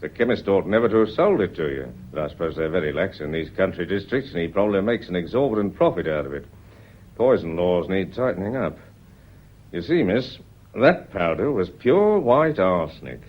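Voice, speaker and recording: Deep, swooping, relentless police inspector. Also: male voice, sing-song, highs cut off, slight background noise. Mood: shrewd, insistent. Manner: deliberate, insistent questioning with polite address. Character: probing, courteous but relentless male police inspector. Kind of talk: radio play acting.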